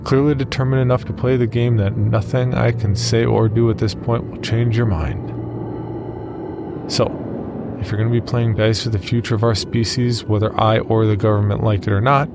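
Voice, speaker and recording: A man's voice: lowish voice, steady tone, normal speed, gravelly, heavy background noise. A man playing a grave, sardonic computer scientist in a horror audio drama, formal and emphatic. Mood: stern, sardonic.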